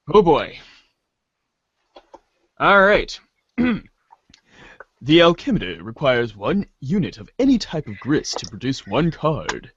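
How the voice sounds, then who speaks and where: A man's voice: a little high, a bit bright, no echo. A man hosting and narrating an amateur reader's theater, in a muffled lo-fi recording. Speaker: host and narrator.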